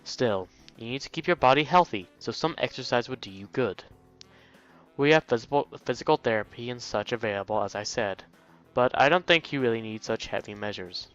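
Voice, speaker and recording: A man's voice: medium pitch, fairly quick, a bit bright, faint music behind, close to an everyday voice. Man reading as chatty, advising male nurse, muffled. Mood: friendly, cautioning. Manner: friendly, advising. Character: grinning, chatty male school nurse giving medical advice. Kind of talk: visual novel dialogue read aloud.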